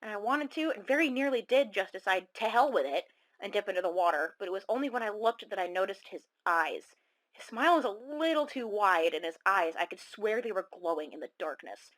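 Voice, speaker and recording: A woman performs a shaken female caller on a horror radio show. She tells her own story in a confiding, suspenseful way, with a mid-pitched voice and dramatic delivery. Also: lively intonation, brisk, a bit bright, close to an everyday voice. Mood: fearful. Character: shaken young woman caller recounting a monster encounter.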